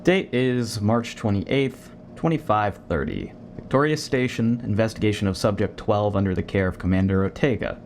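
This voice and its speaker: A man's voice: lowish voice, moderate pace, few pauses, background noise, close to an everyday voice. A man playing a stern, impatient military commander in a sci-fi audio drama, with clipped, commanding delivery. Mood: weary official.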